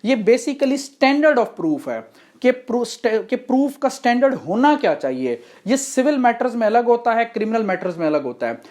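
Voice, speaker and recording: A woman's voice: on the high side, very animated, normal speed, darkish tone. A woman with a Pakistani accent giving an explanatory law lecture, methodically defining terms step by step and posing rhetorical questions. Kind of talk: explanatory lecture mixing Hindi-Urdu and English.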